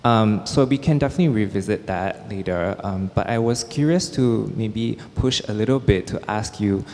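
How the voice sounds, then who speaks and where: A man hosting the conversation, asking measured questions with short pauses. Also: fairly low pitch, a little mellow. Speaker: host and curator. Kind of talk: interview questions. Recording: hall with long reverb.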